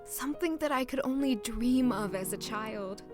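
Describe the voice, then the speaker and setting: A woman's voice: fairly high pitch, normal speed, a little mellow, soft background music, natural voice. A woman playing a humble, lovelorn young castle maid who narrates her own story in an audio drama. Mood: surprised, wistful.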